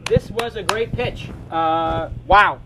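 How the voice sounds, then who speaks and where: A middle-aged man hosting a live startup show, joking and talking fast in bursts. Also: high voice, music in the background, poor audio. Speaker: podcast host.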